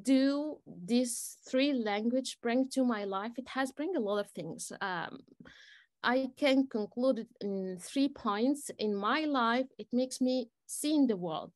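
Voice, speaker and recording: A woman fields audience questions, talking slowly and hesitantly with many pauses and fillers. Her voice sits a little high. The recording is close and dry with no room sound. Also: dry recording. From Egypt. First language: Arabic. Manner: switches between Chinese and English. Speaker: guest speaker answering audience questions. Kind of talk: live Q&A at a student forum.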